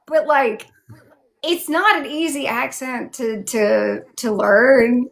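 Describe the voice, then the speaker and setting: A woman's voice: on the high side, takes it slowly, gravelly, a bit bright, slight background noise. A woman with a Southern American accent, interviewing in a casual chat with an effusive, colloquial, emphatic manner. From southern United States.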